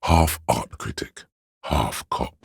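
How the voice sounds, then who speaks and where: A man playing a cerebral, pretentious inner voice of artistic imagination in a game, narrating theatrically and deliberately in a gravelly, husky voice. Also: very deep voice, no echo, clean studio sound, exaggerated voice. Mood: grand, exhorting. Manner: pompous, theatrical, deliberate diction. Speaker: game voice actor. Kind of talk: dramatic scripted game narration.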